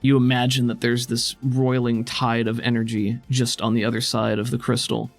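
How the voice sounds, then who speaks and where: A man with a creaky, fry-edged voice narrates as a game master. He speaks conversationally at a middle pitch. Faint music plays underneath. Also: little pitch movement, moderate pace. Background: soft background music.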